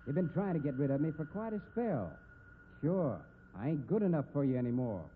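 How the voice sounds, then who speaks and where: A hot-tempered American cowboy blusters through a thin, phone-like vintage radio recording with light hiss. His folksy talk swings widely in pitch, like a cornered husband in a 1950s melodrama. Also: very animated, a little fast. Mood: defiant, defensive.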